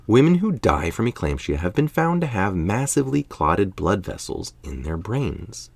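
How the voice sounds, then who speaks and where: Very deep, gravelly, tired man reading aloud. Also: big pitch swings, normal speed, dry recording. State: tired late at night. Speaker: article reader. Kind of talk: reading an article aloud with casual asides.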